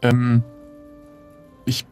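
A man's voice: drawn-out words, darkish tone, soft background music. A man playing an improvised adventure in casual conversational speech, with a tentative, questioning manner. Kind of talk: casual in-character role-play chat.

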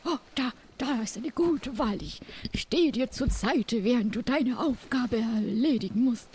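A woman playing a loyal, earnest fighter in an improvised audio play, with a husky, breathy voice. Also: fairly high pitch, unhurried, darkish tone. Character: loyal fighter pledging help to a pirate.

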